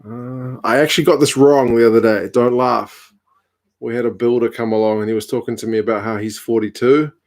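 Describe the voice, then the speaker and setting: A man, hosting a casual live stream from home, reading out viewer comments with a relaxed, chatty manner and dry humour. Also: mid-range voice, fairly quick. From New Zealand. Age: 30s.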